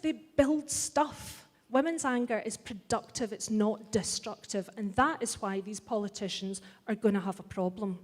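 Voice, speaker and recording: A middle-aged Scottish woman giving a blunt, wry and impassioned speech to a live audience. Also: fairly high pitch. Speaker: festival speaker. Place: large hall. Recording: long hall reverb.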